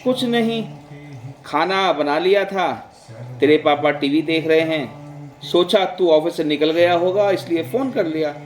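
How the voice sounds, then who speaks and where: A man voicing a gentle, affectionate older mother in a told story, speaking softly and tenderly. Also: fairly high pitch, measured pace, close to an everyday voice, rough recording. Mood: caring.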